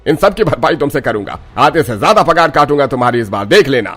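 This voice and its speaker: A man's voice: medium pitch, very animated, quick pace, fairly bright tone, breathy edge, soft background music, voice pushed down. A man voicing a harsh, angry dairy boss in a cartoon story, scolding and threatening.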